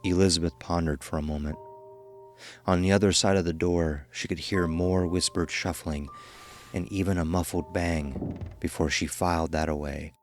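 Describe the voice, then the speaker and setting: A man narrating a scripted horror story as a dramatic, measured storyteller. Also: a bass voice.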